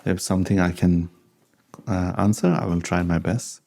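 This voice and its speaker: Low, mellow-voiced man teaching with an accent. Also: gravelly. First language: Tibetan. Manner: unhurried, conversational, chuckles while telling anecdotes. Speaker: Buddhist teacher. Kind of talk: dharma talk with question and answer.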